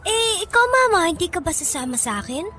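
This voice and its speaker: A very high, childlike little boy's voice in a radio drama, with faint music behind. The boy is innocent and plaintive, speaking politely and pleadingly to his mother. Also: big pitch swings, a little fast, pushed and bright, exaggerated voice. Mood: protesting. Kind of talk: Tagalog radio drama acting.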